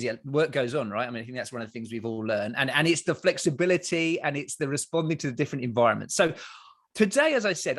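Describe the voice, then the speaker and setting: A man's voice: medium pitch, a bit bright. A man from Australia with an Australian accent, hosting a podcast in a rambling, enthusiastic way with many restarts. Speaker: podcast host. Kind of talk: casual interview chat.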